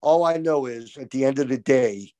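An older man co-hosting a postgame lacrosse show over a video call, talking with emphatic, opinionated excitement. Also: mid-range voice, normal speed, no echo. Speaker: co-host, college lacrosse analyst. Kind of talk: excited postgame sports talk. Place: small room indoors. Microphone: video call webcam.

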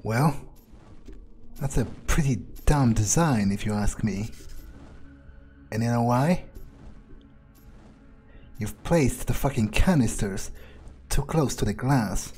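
A man role-plays his character while playing a video game. He speaks slowly at an average pitch, musing in a smug, sardonic, foul-mouthed way. Music plays behind his voice. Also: a little mellow. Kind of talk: in-character game playthrough commentary.

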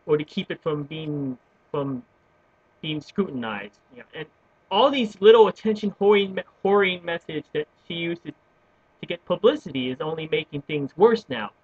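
A man's voice: a little high, lively intonation, normal speed, a little mellow, husky, dry recording. Young man ranting into a webcam. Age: young adult. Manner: earnest, stammering restarts, gesturing. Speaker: vlogger. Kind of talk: opinion rant to webcam. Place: small room at home with bookshelves, indoors. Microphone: webcam built-in mic. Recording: dull lo-fi webcam audio.

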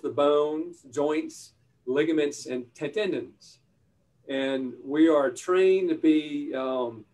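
A male physician explaining his specialty in a halting way, often repeating words. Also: mid-range voice, a little mellow, recorded from a distance.

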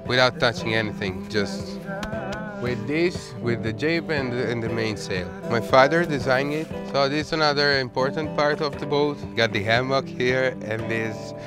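A man in his thirties with an Italian accent, a sailboat owner showing his boat in a casual tour, talking haltingly and searching for English words. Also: mid-range voice. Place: outdoors on a sailboat deck and cabin. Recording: background music.

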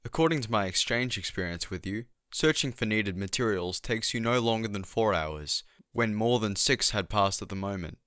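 An actor playing a precise, politely concerned scientist in a radio play, speaking in a stiff, formal, measured way. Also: male voice, fairly low pitch, lively intonation, a bit bright, no room sound, clean studio sound.

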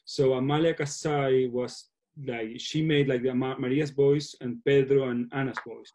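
Mellow-voiced man, halting English. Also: medium pitch, fairly even intonation. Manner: halting, searching for words. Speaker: filmmaker being interviewed. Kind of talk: casual Q&A on a video call. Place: small room at home. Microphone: laptop video call.

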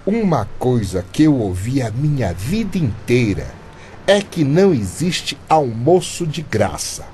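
A man's voice: mid-range voice, big pitch swings, unhurried, mellow, a little hiss or hum. A man playing a shrewd, teasing Brazilian construction worker in a comic radio drama, with colloquial, exclamatory delivery. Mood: suspicious.

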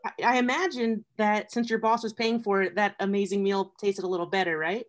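A man with a high voice hosting a casual interview, chatty and eager with questions. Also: steady tone, fairly quick. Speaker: host.

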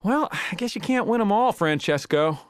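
A confident young American race car from a cartoon. He talks casually and amiably, with lively pitch, in a close dry recording. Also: moderate pace, no room sound. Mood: teasing. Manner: casual, friendly.

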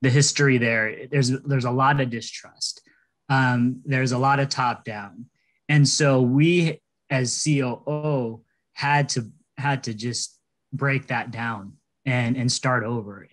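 A man's voice: mid-range voice, a bit bright. A man in his thirties on a video call, speaking earnestly as a panelist, with frequent restarts. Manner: earnest, conversational, many restarts. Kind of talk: panel discussion. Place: indoors, small room. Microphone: laptop webcam on a video call.

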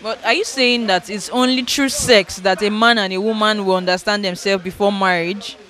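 A woman's voice: medium pitch, fairly even intonation, moderate pace, a bit bright. A young woman interviewing people on the street, asking direct, probing questions. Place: outdoors on a street.

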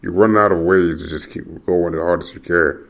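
A man's voice: low-pitched, steady tone, brisk, vocal fry, fairly bright tone. A young American man hosting a solo podcast, rambling and restless, repeating himself and cursing as he rants. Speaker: podcast host.

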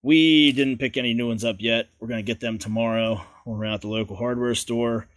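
A man's voice: medium pitch, fairly quick, no echo. A man hosting a home repair video, talking casually and folksy as he works in a garage. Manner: relaxed, folksy, chatty with fillers. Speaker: DIY video host. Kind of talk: casual how-to explanation.

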